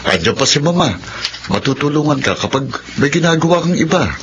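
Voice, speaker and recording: A man's voice: fairly high pitch, expressive, brisk, dull audio, background noise. A man playing a mother-dependent husband. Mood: hesitant. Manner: yielding, hesitant. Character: young husband who depends on his mother. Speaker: husband, narrator of his story. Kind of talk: radio drama narration and acting.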